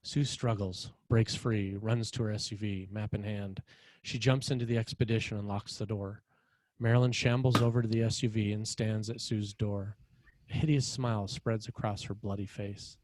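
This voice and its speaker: A man with a fairly low voice narrates a screenplay. He reads the stage action in short, measured, dramatic phrases. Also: fairly even intonation, darkish tone. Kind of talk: scripted screenplay narration, present tense.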